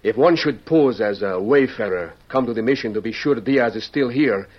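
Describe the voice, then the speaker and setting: A man's voice: mid-range voice, moderate pace, close to an everyday voice. Man playing a courteous Mexican leader, muffled recording. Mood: earnest. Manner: formal, emphatic, effusive. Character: proud, grateful, courteous Mexican leader in hiding. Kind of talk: old-time radio western acting. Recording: dull old radio recording.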